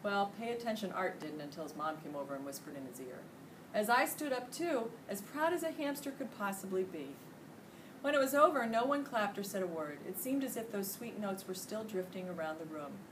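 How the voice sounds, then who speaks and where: A woman's voice: mid-range voice, animated, fairly quick. A middle-aged American woman reading a children's book aloud expressively, like a teacher in a classroom. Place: classroom, indoors. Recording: distant mic, loud room noise.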